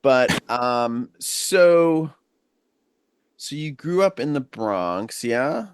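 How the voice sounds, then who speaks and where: A man's voice: mid-range voice, animated, extremely slow, clear, resonant tone, no room sound. A man from Long Island hosting a podcast, reflective in his intro and hesitant, often stammering, in casual questions. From Long Island, New York. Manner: reflective, hesitant, stammers when talking about himself. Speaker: podcast host. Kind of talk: scripted intro and casual interview.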